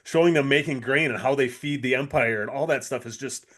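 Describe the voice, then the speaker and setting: A man co-hosting a podcast, talking in casual fan chat with an eager, halting, rambling manner. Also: mid-range voice, fairly bright tone. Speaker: podcast co-host.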